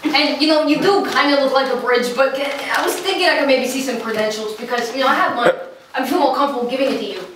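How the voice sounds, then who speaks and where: A high-pitched, decrepit old billy goat, played by a young woman in an improv skit. It rambles haltingly and doubtfully, heard from far off in a very echoey room. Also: background noise, lightly acted. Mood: rambling, doubtful. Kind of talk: improvised comedy scene. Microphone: distant camera microphone.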